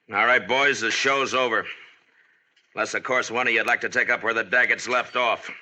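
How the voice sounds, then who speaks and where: An American actor playing a tough, blunt, commanding Old West marshal in a 1950s-style radio western. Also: male voice, medium pitch, bright, forceful tone, poor audio. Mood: scornful. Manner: blunt, challenging, authoritative. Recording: old radio recording with background hiss.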